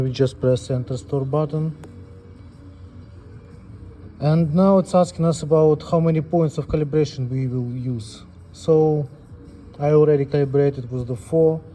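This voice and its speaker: Man, slightly high pitch, very soft dark timbre, halting hands-on instruction, faint background noise. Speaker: presenter of a scale calibration tutorial. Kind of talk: unscripted step-by-step technical demonstration.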